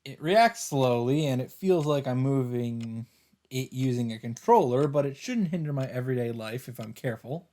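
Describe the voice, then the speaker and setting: A man's voice: mid-range voice, animated, normal speed, dark tone. A man hosting a let's play, reading visual novel narration aloud with casual asides. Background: game music.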